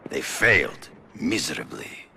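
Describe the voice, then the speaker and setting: An actor playing an imposing, theatrical big-game hunter in a video game, speaking formally and slowly in a gravelly Russian accent. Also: male voice, very animated, bright, forceful tone, slight background noise, heavily acted. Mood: stern, resolute. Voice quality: very deep, gravelly. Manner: theatrical, slow, formal diction without contractions. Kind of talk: video game cutscene acting.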